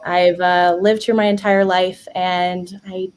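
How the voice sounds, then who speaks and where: A woman in her twenties from the Bay Area, a guest talking in a relaxed way, with many ums. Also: on the low side, slow, clean tone. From San Francisco Bay Area. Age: 20s. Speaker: training specialist guest. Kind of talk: casual conversation.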